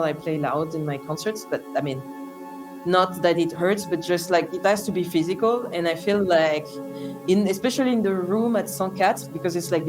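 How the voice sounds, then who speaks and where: A young woman with a French accent speaks in a thoughtful, hesitant way, her low-pitched voice often pausing to restart or search for a word. Clear music plays underneath her. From France. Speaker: interview guest, sound artist. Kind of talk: reflective conversational interview.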